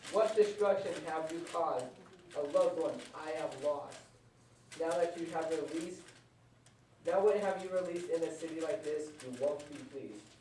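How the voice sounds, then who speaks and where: Man acting a mad scientist, dramatic rhyming delivery, higher-pitched male voice, echoey room. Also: measured pace, a little mellow, lightly acted. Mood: alarmed, dramatic. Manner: dramatic, declaiming in rhymes. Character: melodramatic mad scientist warning of his monster worm. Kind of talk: amateur puppet show performance. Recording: echoey room, distant mic, faint noise.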